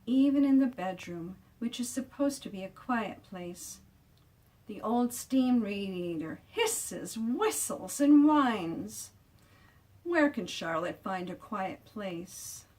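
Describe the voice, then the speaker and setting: A woman author reads her children's picture book aloud expressively and deliberately, drawing out descriptive words for emphasis. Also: medium pitch, expressive, darkish tone, distant mic.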